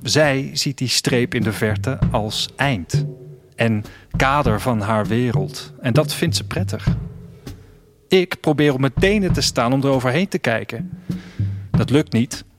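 Male actor as restless, reflective wanderer, audio drama monologue, intimate delivery, medium pitch, clear music bed. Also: measured pace, close to an everyday voice. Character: restless young dreamer of 2104 longing to travel.

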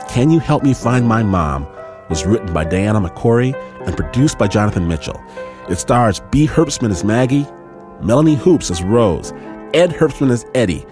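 A man hosting a radio storytelling show, delivering scripted introductions and credits as a presenter. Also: medium pitch. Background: hip hop music.

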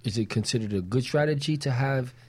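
A man's voice: mid-range voice, fairly quick, husky. A man co-hosting a talk show, asking questions in a casual, conversational way.

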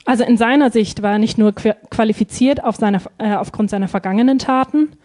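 A woman's voice: fairly high pitch, fast, a little mellow. A woman interpreting a sermon live, phrase by phrase, hesitating and feeling for words with frequent ums.

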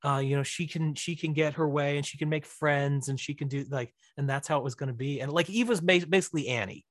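Rambling, higher-voiced man in casual conversation. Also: quick pace, no room sound, clean studio sound. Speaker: writer-director of audio dramas, podcast guest. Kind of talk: casual interview chat.

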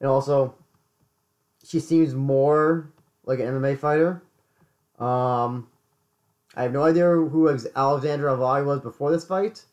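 A man co-hosting a casual podcast chat, hoarse, often stumbling and repeating words. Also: medium pitch, a little slow, pauses often, a little mellow, dull audio. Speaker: podcast co-host.

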